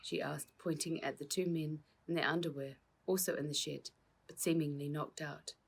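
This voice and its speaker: Low, husky woman reading a storybook. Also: fairly even intonation, moderate pace. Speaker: author reading own book. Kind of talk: children's storybook narration.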